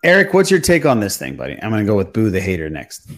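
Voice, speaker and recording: A man's voice: lowish voice, wildly swooping pitch, fast talker. A man hosting a podcast, chatting casually about fandom and steering the talk among his friends. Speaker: podcast host. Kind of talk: casual fan chat.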